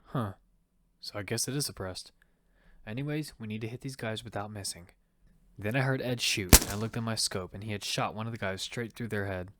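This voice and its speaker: A man's voice: lowish voice, fairly quick, a bit bright, a little hiss or hum, natural voice. A man playing a hot-tempered father who narrates his own battle-royale survival story in an amateur audio drama. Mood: cautious. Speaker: narrator and lead actor. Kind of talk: first-person narration and dialogue in amateur audio fiction. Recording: background music under the voice.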